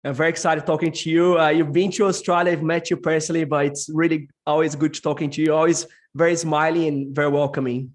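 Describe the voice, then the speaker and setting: A man's voice: a little high, flat delivery, fast talker, hardly any pauses. A man hosting a podcast, introducing his guest and asking friendly, enthusiastic questions. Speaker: podcast host. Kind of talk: podcast interview.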